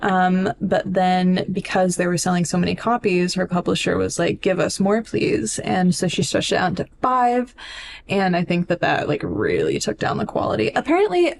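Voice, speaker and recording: A woman's voice: on the low side, lively intonation, normal speed, creaky, slight background noise. A woman co-hosting a podcast, chatting casually and informally about books, with swearing and lots of "like". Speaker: podcast co-host.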